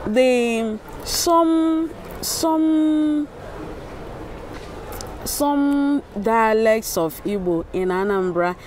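A middle-aged woman with a Nigerian accent explains research as a linguist, haltingly, often repeating and rephrasing her words. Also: medium pitch, a little hiss or hum, rough recording. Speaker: academic linguist. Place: outdoors in a yard with sculptures. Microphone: clip-on lapel microphone.

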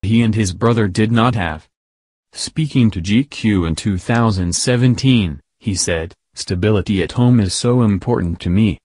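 A man narrating a scripted news piece in an even, flat read with no fillers. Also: lowish voice, moderate pace, breathy edge, dry recording.

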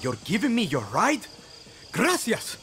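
A man's voice: sits high, huge pitch swings, measured pace, noisy background, exaggerated voice. A man playing an upbeat young guerrilla fighter in a video game. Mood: friendly. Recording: game audio under loud music.

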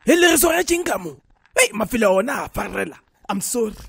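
A man voicing a brash, scheming, hot-tempered South African cartoon character, loud and excitable. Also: high voice, fast, gravelly, noisy background, heavily acted. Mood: irritated, scheming. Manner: loud, excitable, mixes English into vernacular. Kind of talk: comedic cartoon dialogue.

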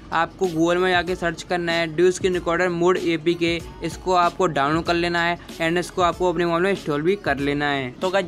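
A man's voice: high voice, fairly bright tone. A young man narrating a gaming tutorial in formulaic, repetitive step-by-step instructions, in the manner of a channel host. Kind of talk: scripted tutorial voice-over. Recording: background music under voice-over. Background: music.

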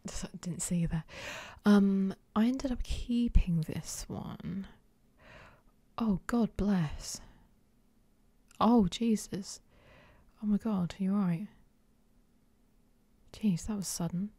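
A woman whispering softly for an ASMR clothing try-on, hesitant and unsure, with many pauses and ums. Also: mid-range voice, husky, no echo. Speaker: ASMR creator.

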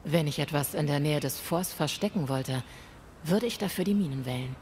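An actress playing a composed, stern female ghost hunter in a video game, speaking formally, measured and direct. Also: low voice, darkish tone, close to an everyday voice. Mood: calm, probing. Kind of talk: video game dialogue acting. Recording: faint ambient noise.

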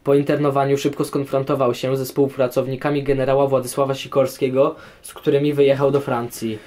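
A man's voice: medium pitch, level tone, a little fast, darkish tone. A man answering history questions in scripted documentary narration.

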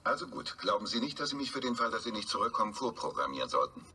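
A man's voice: fairly low pitch, sing-song, fast, sharp tone, husky, exaggerated voice. A man playing a polite, dry-witted talking car computer in a formal, precise manner. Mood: dry, obliging. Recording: distant car speaker, noisy outdoor pickup.

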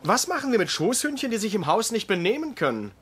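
An actor playing a sarcastic, bossy senior doctor with a biting manner in a German-dubbed TV comedy-drama. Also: male voice, high-pitched, sing-song, fast, a bit bright, breathy edge, full character voice. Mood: mocking, scolding. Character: gruff, sarcastic male senior doctor, mocking bully.

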